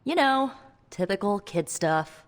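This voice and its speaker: A woman's voice: medium pitch, lively intonation, lightly acted. A woman playing a young spellcaster hero in a video game, wry and guarded, talking in a conversational, hesitant way. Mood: wry storytelling. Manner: wry, hesitant, halting asides. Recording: long reverb, music underneath.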